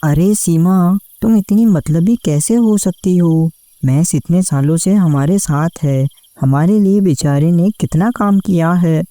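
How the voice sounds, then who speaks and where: A woman's voice: lowish voice, measured pace, dark tone, no echo, natural voice. A woman voicing a hardworking, kind-hearted farmer husband in a cartoon story, speaking in an earnest, plaintive way. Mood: indignant, pleading. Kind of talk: scripted cartoon story acting. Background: background music.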